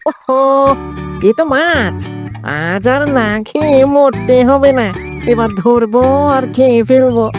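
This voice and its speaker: A woman voicing a sly, greedy fox in a children's cartoon, wheedling and scheming in a flattering way. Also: high-pitched, slow pace, music in the background. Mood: gleeful.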